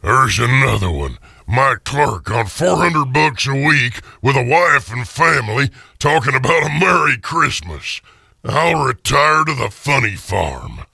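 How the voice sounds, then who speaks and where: A man playing a bitter, miserly old businessman in a radio drama, with a gravelly, raspy voice and a curt, sarcastic manner. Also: mid-range voice, very animated, a little slow, pushed and bright, no room sound, clean studio sound, pitched above the performer's own voice, exaggerated voice. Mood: scornful.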